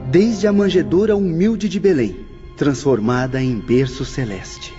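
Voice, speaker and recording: An actor with a Brazilian accent playing a gentle young spirit teacher, lecturing in exalted, eloquent phrases in an audio drama. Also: male voice, fairly high pitch, unhurried, lightly acted. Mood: exalted, reverent. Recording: dull audio with faint music.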